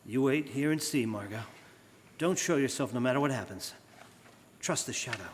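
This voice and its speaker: A man playing a calm, confident, secretive gentleman detective with clipped lines in a live radio drama, recorded in an echoey hall. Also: mid-range voice, moderate pace, slight background noise, natural voice. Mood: hushed, steady. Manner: composed, clipped, assured. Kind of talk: live old-time radio drama acting.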